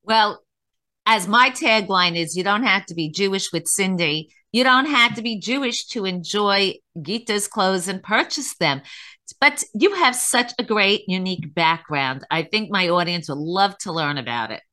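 Brief: older woman talk show host, bright tone, mid pitch, eager and promotional. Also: expressive, a little slow. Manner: enthusiastic, promoting the guest. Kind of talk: interview hosting.

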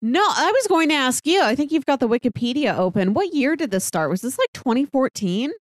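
A woman's voice: high-pitched, sing-song, brisk, no room sound, clean studio sound. A woman co-hosting a podcast, talking in a casual conversational way. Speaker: podcast co-host.

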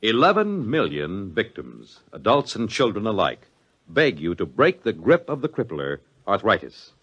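A man's voice: on the low side, huge pitch swings, normal speed, a bit bright, breathy edge, highs cut off. A male announcer delivering a scripted, earnest appeal in solemn 1950s radio style, with dramatic emphasis.

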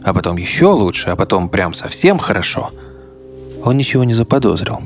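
Low-pitched male podcast host over music. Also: sing-song, fairly quick. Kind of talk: conversational scripted narration. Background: background music.

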